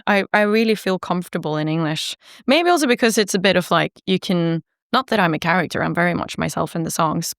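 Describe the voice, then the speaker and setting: A singer-songwriter woman from Norway, chatting casually in an interview, laughing easily and filling pauses with ums and likes. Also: lowish voice, dry recording, clean studio sound. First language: Norwegian.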